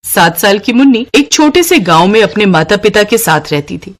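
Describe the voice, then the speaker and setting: A woman's voice: mid-range voice, sing-song, normal speed. A woman narrating a scripted moral story for a cartoon.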